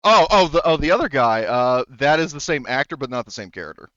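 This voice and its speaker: A man narrating casually as a tabletop game master, rambling and halting with frequent self-corrections. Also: mid-range voice, animated, bright, forceful tone, clean tone, no room sound, muffled recording.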